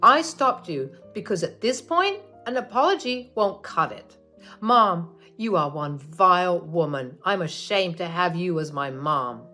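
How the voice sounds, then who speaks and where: A woman's voice: lowish voice, big pitch swings, normal speed, pushed and bright, faint music behind. A woman voicing an angry young husband scolding his mother, firm and indignant.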